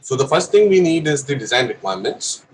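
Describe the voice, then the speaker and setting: A man's voice: mid-range voice, sing-song, fast talker. A young man with an Indian English accent and a cough, giving a technical lecture with frequent fillers. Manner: careful explanation with frequent fillers. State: has a cough. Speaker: presenter of a course session.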